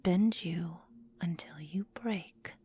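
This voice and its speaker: Woman playing a slow, whispering, possessive vampire mistress. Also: fairly low pitch, mellow, slight background noise. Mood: menacing, possessive. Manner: slow, breathy, deliberate, coolly domineering. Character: possessive, menacing female vampire mistress, calm but simmering. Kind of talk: whispered ASMR roleplay.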